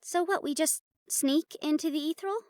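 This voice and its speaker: A woman's voice: high voice, normal speed, a little mellow, no echo, clean studio sound, close to an everyday voice. An actress playing a wary, protective and responsible woman hunter in a fantasy audio roleplay, blunt and skeptical in manner. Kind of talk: scripted audio roleplay drama. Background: background music.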